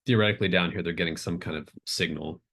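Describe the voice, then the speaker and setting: A man's voice: sits low, quick pace, vocal fry, fairly bright tone, slightly rough. A man narrating a tabletop game as game master, improvising in a casual, hesitant, thinking-aloud way. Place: remote online group call.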